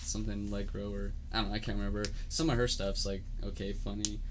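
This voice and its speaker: A man's voice: on the low side, level tone, fast, background noise. A young man co-hosting a casual podcast, talking loosely with lots of fillers and swearing. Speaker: podcast co-host. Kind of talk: casual chat.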